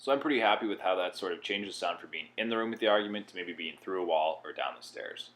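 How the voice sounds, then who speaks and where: A man presenting a software tutorial in a plain-spoken, explanatory way. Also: deep voice, expressive, quick pace. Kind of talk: instructional software walkthrough.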